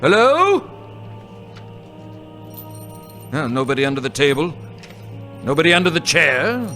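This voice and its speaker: A man playing a grumbling, miserly old moneylender in a live radio play, gruff and scoffing. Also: on the high side, big pitch swings, normal speed, sharp tone, soft background music. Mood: frightened shouting. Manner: gruff, scoffing, snapping out bits.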